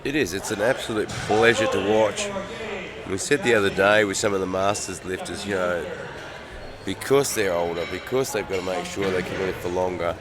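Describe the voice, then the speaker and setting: A man with an Australian accent giving steady, measured live commentary with dry jokes. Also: low-pitched. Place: competition venue. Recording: crowd noise behind.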